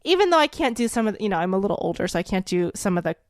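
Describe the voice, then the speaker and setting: A woman's voice: medium pitch, big pitch swings, rapid-fire, dry recording, studio quality. A woman hosting a casual interview podcast, a chatty storyteller with no accent who grew up speaking Vietnamese at home. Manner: chatty storyteller, acts out quotes with big jumps in voice. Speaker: podcast host.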